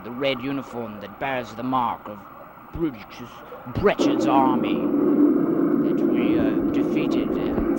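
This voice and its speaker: A man playing an earnest, anxious member of a free society in an amateur audio drama, halting and repeating himself on a homemade tape. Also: mid-range voice, natural voice. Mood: earnest, hesitant. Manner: halting, stammering, repeats phrases. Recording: very noisy homemade 1990s tape recording.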